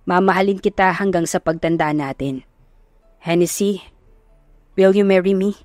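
A woman's voice: on the low side, moderate pace, a little mellow, dry recording, faint music behind, natural voice. A woman with a Filipino accent voicing a sincere, devoted young man in love, speaking earnest sentimental lines. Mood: tender, sincere.

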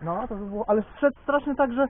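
A man's voice: very high voice, fast talker, narrow, tinny audio, slight background noise. A man bantering outdoors by a river while fishing, joking and swearing casually. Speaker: local angler, co-host guest.

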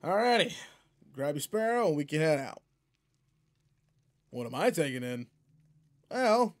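A man's voice: on the high side, lightly acted. A man playing a seasoned but laid-back space guardian mentor in a video game roleplay, casual, slangy and wry as he talks to a partner. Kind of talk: video game roleplay audio, in-character monologue.

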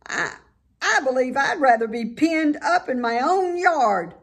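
A woman's voice: sits high, lively intonation, a little slow, pitched above the performer's own voice, full character voice. A woman voicing a frightened, bewildered young male piglet in a storybook, speaking plaintively. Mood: distressed.

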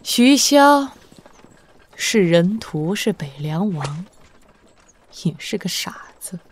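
An actress playing a shrewd, worldly, imperious woman sovereign in a martial-arts audio drama, with deliberate, sardonic delivery. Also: fairly low pitch, sing-song, slight background noise. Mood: wistful, reproving. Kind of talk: Chinese wuxia audio drama acting.

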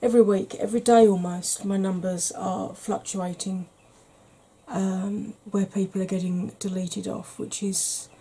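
Soft-spoken woman, mellow husky voice. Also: medium pitch, steady tone, unhurried. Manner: gentle, rambling, chatty. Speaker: beauty YouTuber. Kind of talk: soft-spoken ASMR makeup chat. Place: small home room with a desk fan.

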